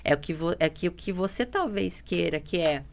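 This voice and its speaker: Blunt woman with a low, creaky voice. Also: expressive, moderate pace. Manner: blunt, emphatic, repeats herself, quotes dialogue. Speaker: podcast guest, dental mentoring business partner. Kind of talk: casual podcast conversation, animated storytelling.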